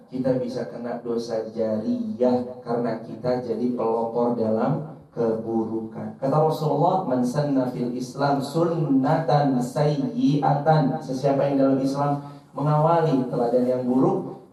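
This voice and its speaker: Mellow-voiced male preacher in echoey room. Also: mid-range voice. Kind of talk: sermon to an audience, quoting and translating Arabic scripture. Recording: roomy echo, distant mic, slightly dull.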